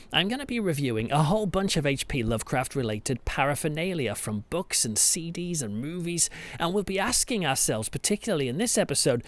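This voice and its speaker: A man's voice: a little high, fairly bright tone, no room sound. A British man hosting a podcast, narrating in a storytelling way with emphatic phrasing and conversational asides. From United Kingdom. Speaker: podcast host and church pastor. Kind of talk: scripted narration with conversational asides, reflective review. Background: music behind the voice.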